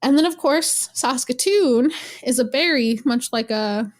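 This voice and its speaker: A young woman from Canada, a guest in an interview, telling stories in a lively, teasing way and laughing often. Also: sits high, unhurried. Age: young adult. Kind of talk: casual interview.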